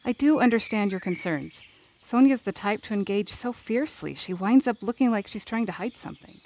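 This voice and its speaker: A woman's voice: mid-range voice, expressive, fairly quick, a little mellow, a little hiss or hum, lightly acted. A woman playing a candid, wry leader and loyal confidante in a fiction podcast drama, with a blunt, teasing manner. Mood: wry, composed.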